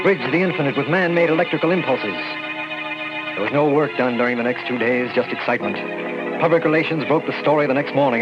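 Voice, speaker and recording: An actor playing an earnest young army lieutenant and scientist who recounts events dramatically in an old-time radio drama. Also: male voice, mid-range voice, natural voice. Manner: earnest, dramatic, suspenseful storytelling. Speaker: narrator and lead character. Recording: muffled vintage radio recording, loud music.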